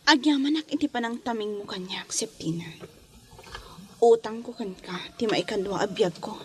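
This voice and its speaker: A wounded woman voiced by an actress in a melodramatic audio drama, speaking at a medium pitch. She is bitter and vengeful, yet resigned to her fate. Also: normal speed, a crowd in the background, close to an everyday voice. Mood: grateful.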